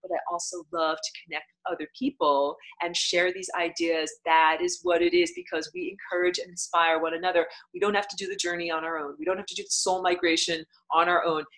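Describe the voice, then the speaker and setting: Woman, 30s, webcam class host, lowish voice, animated and expressive, reads chat aloud. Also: brisk, few pauses, poor audio. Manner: animated, gestures a lot, sudden loud bursts. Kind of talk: live-streamed lesson, reading viewer comments. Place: small indoor room with a patterned wall hanging.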